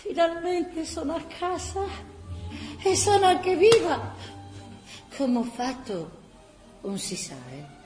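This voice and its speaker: A woman's voice: extremely high pitch, lively intonation, slow pace, long pauses, music in the background, heavily acted. A woman acting a weary, anxious wartime woman in a radio play, plaintive and exclaiming. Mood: relieved. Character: weary, anxious, thirsty woman struggling home through war. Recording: roomy with faint noise.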